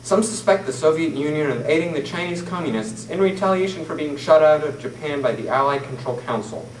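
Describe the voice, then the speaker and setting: A man's voice: fairly high pitch, a little fast. Teen boy reading mock newsreel. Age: teens. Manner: formal, steady reading from a script. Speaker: student newsreader. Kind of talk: mock 1940s newscast read from paper. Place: classroom, seated at a table. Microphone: far camera mic. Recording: roomy, distant camera audio with faint hiss.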